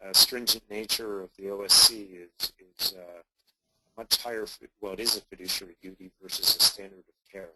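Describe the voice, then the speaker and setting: Low-voiced man on a noisy line. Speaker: portfolio manager speaking as guest co-presenter. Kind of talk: unscripted business remarks on a conference call. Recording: very noisy conference line with clicks.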